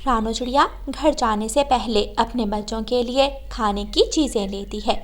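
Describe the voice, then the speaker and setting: A woman with a high voice serves as storyteller. She delivers scripted narration for a children's cartoon tale, telling it line by line. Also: moderate pace.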